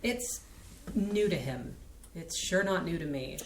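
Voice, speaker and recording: A woman playing a calm, soothing young woman. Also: fairly low pitch, slow pace. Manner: soothing, quiet. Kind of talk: staged reading of a stage play. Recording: echoey theater room.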